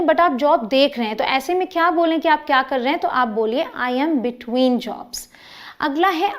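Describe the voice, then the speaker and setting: A woman in her thirties with an Indian accent, teaching spoken English and drawing out each example phrase. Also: high voice. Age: 30s.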